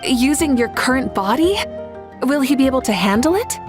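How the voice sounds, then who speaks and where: A confident, gracious leader who speaks warmly and with calm courtesy. Her voice sits a little high, with soft music faintly underneath the game cutscene. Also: normal speed. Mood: curious.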